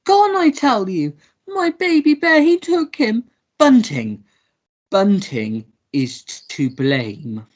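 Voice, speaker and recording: A hysterical, childish gentleman hero in a radio melodrama. The delivery is very slow and halting, with wild swoops of pitch, on a dull recording. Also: very animated, full character voice. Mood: distraught. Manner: overwrought, halting, wailing. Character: dazed, hysterical, childish gentleman hero mourning his teddy. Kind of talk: melodramatic radio play acting.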